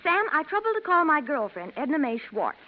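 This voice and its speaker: An actress with an American accent playing a prim, scolding detective's secretary in 1940s radio style. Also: female voice, high-pitched, big pitch swings, brisk, a little hiss or hum. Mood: primly skeptical. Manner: prim, reproachful, precise. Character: skeptical, scolding female secretary to a private detective. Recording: muffled 1940s radio recording.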